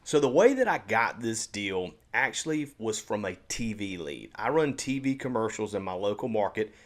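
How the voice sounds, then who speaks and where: This is a man with a somewhat low voice, talking casually to camera. His delivery is upbeat and salesy, with repeated words and restarted sentences. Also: very animated, normal speed. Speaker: real estate investor and educator.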